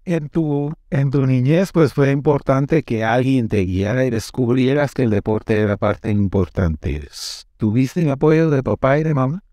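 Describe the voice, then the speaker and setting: A man hosts a studio interview. He sounds animated and emphatic, at a middling pitch. His lapel mic gives a close, dry sound with no room echo. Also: a little slow, hardly any pauses. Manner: animated, emphatic, lots of gestures. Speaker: host. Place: studio set with classroom backdrop.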